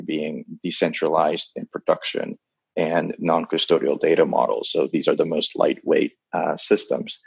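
Low gravelly man, halting and thoughtful. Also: no echo, lo-fi sound. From Dominican Republic. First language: Spanish. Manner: halting, many restarts and fillers. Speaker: guest. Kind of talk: thoughtful interview talk.

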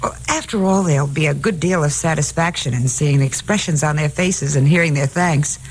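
An actress playing a clever, breezy sidekick who soothes and coaxes in a 1950s radio drama. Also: female voice, sits low, a little fast, lightly acted, rough recording. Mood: coaxing. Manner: breezy, coaxing. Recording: old radio recording, light noise.

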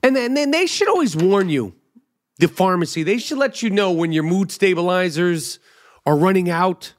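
A man's voice: high-pitched, expressive, moderate pace. A man hosting a podcast, rambling through a profane, self-mocking comedic monologue. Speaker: podcast host.

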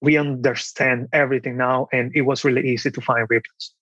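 A man's voice: mid-range voice, level tone, brisk, fairly bright tone, breathy edge, dry recording, lo-fi sound. A young man from the Dominican Republic with a Dominican Spanish accent, telling his story casually as an interview guest. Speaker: podcast guest, online seller.

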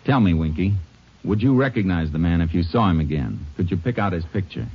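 A super deep-voiced calm ranger, kinda husky, old radio sound. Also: male voice, full character voice. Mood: steady. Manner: steady, measured and confident. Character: calm, methodical male Texas Ranger lawman. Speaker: lead detective. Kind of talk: 1950s radio drama acting. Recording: dull lo-fi vintage radio recording.

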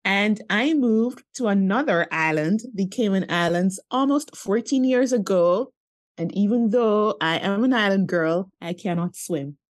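A woman's voice: mid-range voice, animated, unhurried. A woman, a podcast guest and finance coach who tells her story in an earnest, flowing way and laughs easily. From Jamaica. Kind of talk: podcast interview, personal storytelling.

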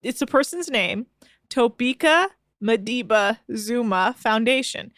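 A woman's voice: a little high, animated, unhurried, a bit bright, no echo, clean studio sound. A woman co-hosting a casual podcast chat, reading posts aloud with amused disbelief. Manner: amused, incredulous, laughing. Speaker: podcast co-host.

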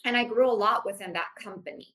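Bright-voiced woman in her thirties. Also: mid-range voice, quick pace. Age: 30s. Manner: animated, uses "like" often. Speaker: podcast guest, sales manager. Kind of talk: casual interview chat.

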